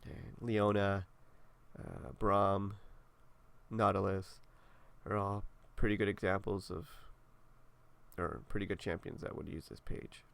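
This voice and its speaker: A young man talks in a relaxed, rambling way, filling gaps with casual fillers. His voice is congested and nasal. It sits fairly low and has a gravelly, fried edge. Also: slow. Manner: laid-back, rambling, many fillers. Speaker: gaming video creator. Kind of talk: casual walkthrough commentary.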